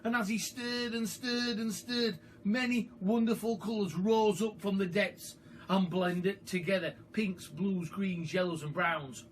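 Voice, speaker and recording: A man reading a children's story aloud as a storyteller, in an expressive, dramatic and emphatic manner. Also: high voice, measured pace. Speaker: storyteller, primary school teacher. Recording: far from the mic, faint background noise.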